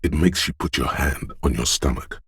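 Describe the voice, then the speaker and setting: Abyssally deep, rasping inner voice. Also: male voice, normal speed, a bit bright, no room sound, studio quality, full character voice. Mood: ominous, insinuating. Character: ominous gut-instinct inner voice, slow, menacing, insinuating. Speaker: video game inner voice and narrator. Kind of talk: second-person game narration.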